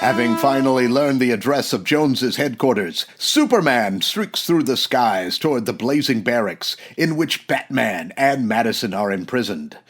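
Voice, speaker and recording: Man as a dramatic, animated radio-serial announcer. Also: medium pitch, husky, full character voice. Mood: suspenseful. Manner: dramatic, declamatory. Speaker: announcer and narrator. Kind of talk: old-time radio serial narration.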